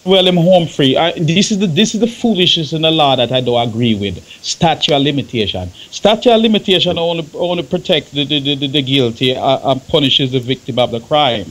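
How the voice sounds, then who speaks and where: A man's voice: on the high side, lively intonation, fairly quick, hardly any pauses, a bit bright, low-quality recording. Emphatic man on a talk show. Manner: emphatic, interjecting, repeats phrases. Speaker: radio talk show panelist. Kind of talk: opinionated talk show discussion.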